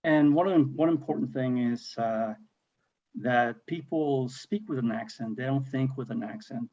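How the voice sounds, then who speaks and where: A man's voice: mid-range voice, a little slow, a little mellow, muffled recording. A man on a virtual panel, explaining a point hesitantly with many fillers.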